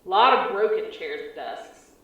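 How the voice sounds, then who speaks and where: Actress as wary female field agent, audio drama. Lively pitch, terse observational reports, very echoey transmission. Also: moderate pace. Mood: uneasy, focused. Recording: echoey, distant, muffled transmission.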